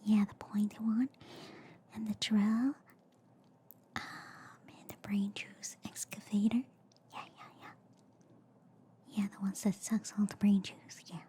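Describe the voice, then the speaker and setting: A woman voicing a quirky, reassuring alien girl doctor in a soft ASMR roleplay, mostly in a hushed, breathy whisper with a soothing, chatty manner. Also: medium pitch, flat delivery, close to an everyday voice. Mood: casual, clinical. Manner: slow, chatty, soothing, repeating filler words. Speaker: ASMR performer.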